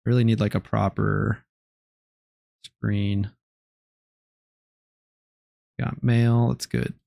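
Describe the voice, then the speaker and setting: A young man streaming a game live, chatting casually in a low-key, relaxed way with many ums and restarts. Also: slow, vocal fry, no echo, clean studio sound. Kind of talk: casual live-stream chat while gaming.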